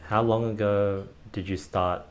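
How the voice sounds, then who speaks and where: A man's voice: fairly low pitch, fairly even intonation, measured pace. A man hosting an interview, asking curious, probing questions and often restarting his sentences. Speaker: podcast host.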